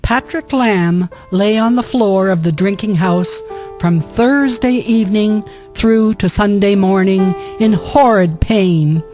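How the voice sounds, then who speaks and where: A woman's voice: mid-range voice, takes it slowly, mellow. A woman giving scripted storytelling narration for a historical radio drama. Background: music.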